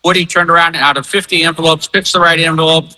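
A man's voice: on the high side, steady tone, fast, bright, forceful tone. A man speaking as an event organizer in an interview, upbeat and emphatic, enthusiastically promoting it. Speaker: fair organizer and spokesman.

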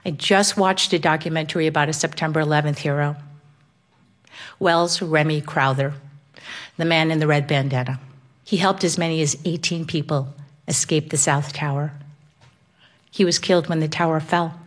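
A woman's voice: sits low, fairly even intonation, normal speed, long pauses. A woman reading a reflective personal essay in an earnest, emotional way.

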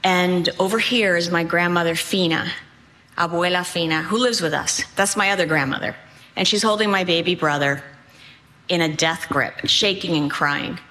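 A woman in her sixties from Cuba, raised in New England, telling a personal story with deliberate phrasing. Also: lowish voice, pushed and bright. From born in Cuba, raised in New England. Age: 60s.

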